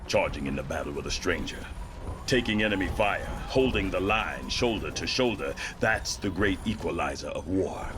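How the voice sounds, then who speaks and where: An actor playing a composed, honorable military general in a video game, speaking in a measured, formal briefing manner. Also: male voice, low-pitched, expressive, background noise. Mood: solemn respect.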